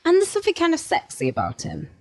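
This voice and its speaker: A woman's voice: very high-pitched, wildly swooping pitch, fast talker. A woman co-hosting a true-crime podcast, telling the story with playful asides. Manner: laughs often, playful asides. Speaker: podcast co-host.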